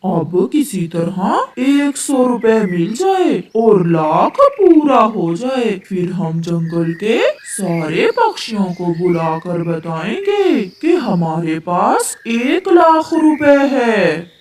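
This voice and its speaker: A woman's voice: mid-range voice, big pitch swings, slow, few pauses. A woman voicing a money-obsessed, miserly male crow husband in a cartoon, eager and emphatic. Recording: echoey, noisy, distant microphone.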